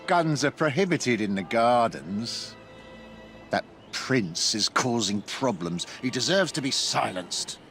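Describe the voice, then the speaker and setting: Gruff, threatening game guard, middle-pitched voice with music behind. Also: male voice, sing-song, takes it slowly, a bit bright, full character voice. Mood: hostile. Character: gruff, menacing male guard.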